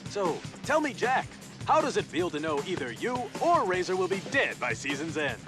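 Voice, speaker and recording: A man's voice: high-pitched, sing-song, moderate pace. A man playing a brash, flamboyant TV race show host in a video game, bombastic and slick, with music behind him. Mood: showy, excited. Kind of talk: over-the-top sportscast hosting.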